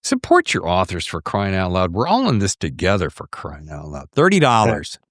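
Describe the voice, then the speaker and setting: A man's voice: sits low, huge pitch swings, normal speed, a bit bright, no room sound, studio quality. A middle-aged American man hosting a podcast in a casual, slangy, effusive way. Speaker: podcast host. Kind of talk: casual podcast interview chat.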